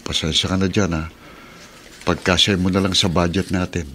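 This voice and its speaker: Low-voiced, weary working husband. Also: male voice, level tone, moderate pace, pushed and bright, a little hiss or hum. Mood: apologetic, reassuring. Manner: plain-spoken, concerned. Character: weary, humble working-class husband and father, a driver. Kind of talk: radio drama acting.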